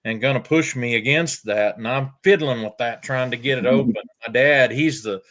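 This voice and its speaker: An older man, telling farm stories in a folksy, unhurried way with frequent pauses. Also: medium pitch, a bit bright. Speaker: storyteller guest. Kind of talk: casual storytelling.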